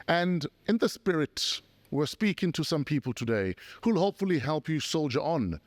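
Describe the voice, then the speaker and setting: A man's voice: mid-range voice, dry recording. A man with a South African accent hosting a casual talk show, joking playfully as he interviews.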